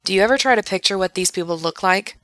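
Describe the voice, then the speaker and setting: A woman's voice: mid-range voice, quick pace, fairly bright tone, no echo, lightly acted. A woman voicing an awkward, earnest young man who is a comic book geek, as dialogue in an audiobook. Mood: awkward, low-key.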